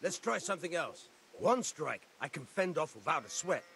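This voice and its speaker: An actor voicing a seasoned, brisk and blunt sword instructor in a medieval video game. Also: male voice, on the high side, very animated, vocal fry, fairly bright tone, a little hiss or hum, heavily acted. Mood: brisk, instructing.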